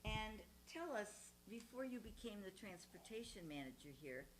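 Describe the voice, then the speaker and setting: An older woman with a loud voice, welcoming residents and interviewing a guest at a community gathering in a retirement home's meeting room. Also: medium pitch, very animated, normal speed, soft background music, poor audio. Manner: loud voice, warm welcoming announcer tone, laughs often. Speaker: community committee member introducing a guest.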